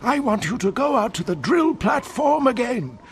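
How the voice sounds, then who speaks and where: A man's voice: extremely high pitch, sing-song, normal speed, faint music behind. A man playing a grave, bossy sage in a video game, with a solemn, commanding and dramatic delivery. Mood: urgent, commanding. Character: grave, bossy male sage who speaks to plants. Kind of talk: animated video game cutscene acting.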